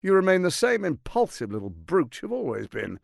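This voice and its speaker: An actor playing a suave, scheming tyrant lord in a fantasy video game, speaking in a pompous, silky, mock-courteous and theatrical manner. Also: male voice, a little high, huge pitch swings, moderate pace, gravelly, dry recording, clean studio sound, heavily acted. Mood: contemptuous.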